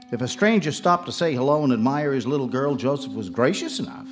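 A man's voice: mid-range voice, close to an everyday voice. Folksy small-town male storyteller over music, acted. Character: plainspoken, folksy small-town local man telling a grim tale. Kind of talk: live audio drama narration.

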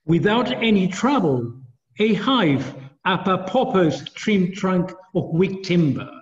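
Champion plains poet acted by an older man, defiant, high and animated rhythmic verse, dull reverberant sound. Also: slow pace. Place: large bright gallery-like studio. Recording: muffled, reverberant studio, video call.

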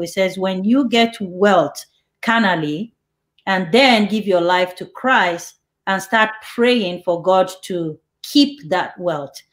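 A woman hosting an online church interview over a video call, reading out viewer questions and affirming answers. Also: mid-range voice. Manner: reads out viewer questions, warm laughs, affirming. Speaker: host.

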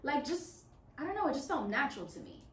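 A woman's voice: high-pitched, quick pace, far from the mic. A young woman from Washington, D.C., talking as a guest in a casual interview, telling stories with lots of "you know"s. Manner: animated storyteller, many "you know"s. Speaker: guest musician. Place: small office meeting room, indoors. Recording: roomy sound from off-mic, faint hum.

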